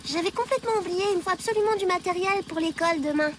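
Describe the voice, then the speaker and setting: A woman voicing a determined, scheming schoolgirl in a cartoon, earnest and quick with excuses. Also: very high voice. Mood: feigned innocence. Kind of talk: French animated series dubbing.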